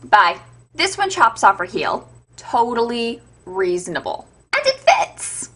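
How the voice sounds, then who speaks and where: A woman's voice: fairly high pitch, huge pitch swings, measured pace, a bit bright, husky. A woman host giving an irreverent, sarcastic, sweary comedic retelling of fairytales as scripted narration. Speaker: storyteller and web show host.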